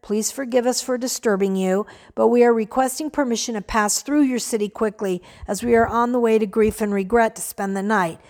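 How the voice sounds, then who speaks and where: A woman's voice: on the high side, a little fast, few pauses, darkish tone, in a large hall, lightly acted. A woman voicing a polite male traveler asking permission.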